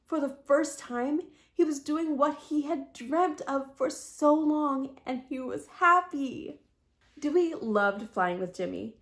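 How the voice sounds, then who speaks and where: A woman hosting a children's storytime, reading a picture book aloud with expressive delivery in her home library. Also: a little high. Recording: steady background hum.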